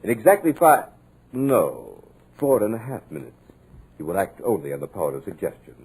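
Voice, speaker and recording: An actor playing a cultured, sinister villain in a 1950s radio drama, with a husky, measured, mocking delivery in an old radio recording. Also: male voice, lowish voice, very animated, a little mellow, a little hiss or hum, poor audio. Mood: menacing. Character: sinister, cultured male villain holding a writer captive.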